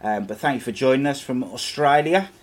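A man's voice: medium pitch, expressive, fairly quick. A man hosting a casual football chat show, laid-back and chatty, reading out viewer questions. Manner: laid-back, chatty, calls co-host mate. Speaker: show host.

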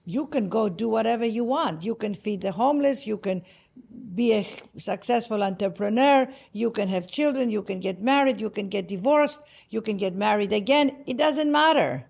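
Greek lady w a gravelly edge, warm gushy spiritual talk, middle pitch. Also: animated, moderate pace. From Greece. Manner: warm and effusive, emphatic. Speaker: spiritual author and podcast guest. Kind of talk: heartfelt interview talk. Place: Cartagena, Colombia.

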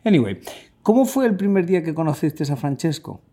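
A man's voice: fairly high pitch, sing-song, a little fast, mellow, husky. A man hosting a casual interview, asking questions in a playful, teasing way. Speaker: host.

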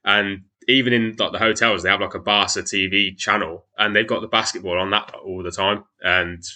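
A man's voice: fairly low pitch, steady tone, fairly quick, pushed and bright. A man co-hosting a podcast, chatting in a friendly way with tag questions. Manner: friendly, says "mate", asks tag questions. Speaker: podcast co-host. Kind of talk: casual podcast chat.

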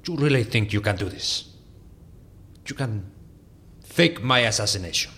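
An actor playing a vain, boastful older Latin American president in an audio drama, grandiose and blustering. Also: male voice, fairly low pitch, animated, normal speed, sharp tone. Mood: imperious, curious. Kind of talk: audio fiction acting.

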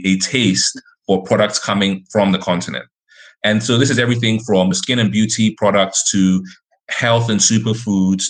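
A man's voice: fairly low pitch, a bit bright. A man giving an analytical, structured explanation as a speaker on an investment panel. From Africa.

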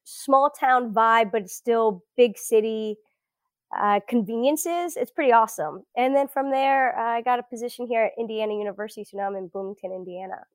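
Smooth-voiced American woman, chatty and reflective. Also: on the high side, a little fast, no echo. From South Florida, US. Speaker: podcast guest, academic. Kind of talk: casual interview conversation. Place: at home indoors.

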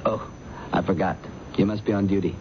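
A man's voice: low voice, fairly even intonation, a little fast, natural voice. An actor playing an ordinary man turned murder suspect in a 1970s radio drama, defensive and evasive, given to stammering. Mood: evasive, uneasy. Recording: muffled, noisy old radio broadcast recording.

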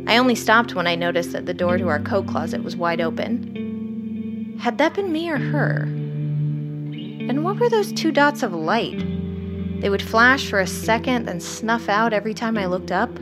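A woman's voice: mid-range voice, lively intonation, moderate pace, over loud music, natural voice. A woman playing a wry, haunted, sleepless ER doctor who narrates a horror audio drama in the first person. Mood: brooding, uneasy. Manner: wry, brooding, literary. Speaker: narrator and lead character.

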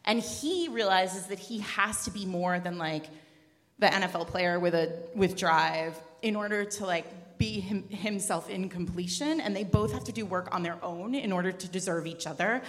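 A woman's voice: lowish voice, normal speed. A woman chatting casually and emphatically about books as a podcast co-host at a live event, gushing as she goes. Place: live event room with audience. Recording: echoey hall.

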